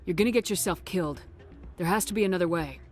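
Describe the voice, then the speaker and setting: An actress playing a steady, decisive military commander in a video game, direct and confident. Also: female voice, fairly low pitch, fast talker, faint music behind, close to an everyday voice. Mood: urgent. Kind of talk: video game cutscene acting.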